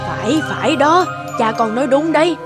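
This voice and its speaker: A woman's voice: high-pitched, animated, normal speed, over music. A woman playing a snobbish, fretful landowner's wife, disdainful and exclamatory, in a fairy-tale cartoon dub.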